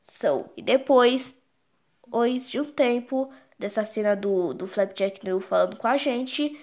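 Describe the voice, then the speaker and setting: A woman's voice: a little high, measured pace, darkish tone. A woman with a Brazilian accent giving a casual, unscripted recap commentary, rambling and repeating as the words are searched for. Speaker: video creator explaining own animated horror episode.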